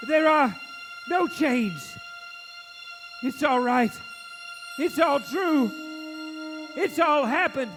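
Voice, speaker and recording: An elderly reformed miser in a live radio play, pours out fervent exclamations. The voice is pitched very high but takes its time, repeating words theatrically, with music clearly heard underneath. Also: male voice, exaggerated voice. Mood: dazed relief. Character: elderly reformed miser, frantic, giddy and overjoyed. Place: theatre stage before an audience. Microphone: shared stage microphone. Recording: live stage recording with music behind.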